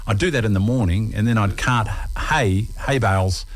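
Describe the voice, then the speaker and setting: A man's voice: lowish voice, moderate pace. A man in his sixties from New Zealand with a Kiwi accent, a guest on a radio interview, chatting in a friendly way and often calling the host by name.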